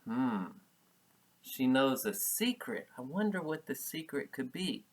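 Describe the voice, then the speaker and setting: A male preschool teacher reading a picture book to young children. His delivery is slow and patient, with simple explanations and repeated key words. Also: mid-range voice, sing-song. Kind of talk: children's storybook read-aloud with simple explanations.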